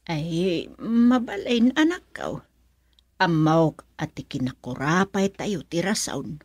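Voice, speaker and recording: A woman playing a worried, protective mother of a grown son in a radio drama, husky and plaintive. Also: on the low side, big pitch swings, slow pace, dry recording, full character voice.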